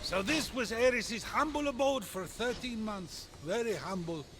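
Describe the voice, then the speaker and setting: 높은 목소리의 거들먹거리는 빈정대는 신. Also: male voice, high-pitched, a little slow. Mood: mocking. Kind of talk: video game cutscene voice acting. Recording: clear ambient noise behind voice.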